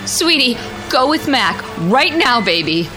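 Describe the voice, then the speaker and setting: A woman's voice: sits high, very animated, a little slow. An actress in a radio drama playing a distraught mother desperate for her lost little girl. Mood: urgent coaxing. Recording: radio drama with music behind.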